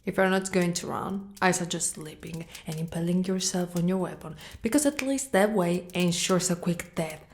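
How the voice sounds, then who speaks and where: A woman voicing a proud, fiercely protective female dragon knight in an ASMR roleplay, speaking deliberately and often breathy or whispered. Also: fairly low pitch, natural voice. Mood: cold menace. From Italy.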